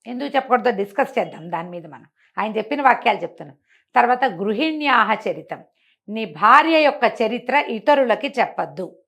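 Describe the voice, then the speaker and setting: A woman's voice: fairly high pitch, lively intonation, a little fast, gravelly, fairly bright tone. A woman giving a conversational moral talk, teaching emphatically and often posing rhetorical questions. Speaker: storyteller explaining moral teachings.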